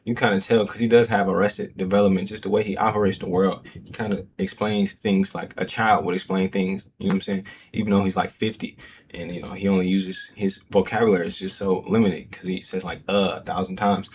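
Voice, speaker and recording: A man's voice: low voice, level tone, fairly quick, darkish tone, slight background noise. A man hosting a reaction show, talking loosely in slangy casual commentary full of fillers. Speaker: host.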